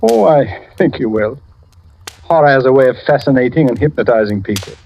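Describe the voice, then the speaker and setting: A pompous detective, faintly noisy recording. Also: male voice, medium pitch, expressive, vocal fry, a little mellow. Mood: smug, theatrical. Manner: pompous, measured, assured. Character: self-satisfied English former detective, shrewd and theatrical. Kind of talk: old-time radio drama acting. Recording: noisy old radio recording with clicks.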